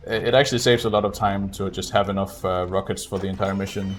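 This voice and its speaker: A man giving casual, halting live commentary as he streams a video game speedrun. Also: low voice, fairly even intonation.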